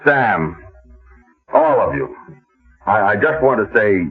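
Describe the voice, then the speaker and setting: An actor playing an earnest, modest, slightly hesitant mayoral candidate in a mid-century American radio drama. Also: male voice, on the low side, big pitch swings, slow. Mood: moved, grateful. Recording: tinny old radio broadcast, faint music.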